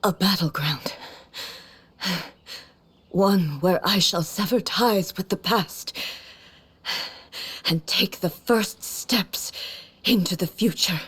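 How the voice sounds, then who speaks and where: A slow, solemn warrior goddess. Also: female voice, lowish voice, a bit bright, slightly rough, full character voice. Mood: weary resolve. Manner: formal, measured, grave. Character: solemn, resolute, regal immortal warrior woman. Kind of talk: dramatic video game voice acting.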